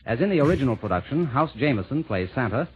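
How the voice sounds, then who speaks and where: A man's voice: mid-range voice, very animated, quick pace, husky, muffled recording. A man making a formal, scripted 1940s radio announcement, heard on an old broadcast recording.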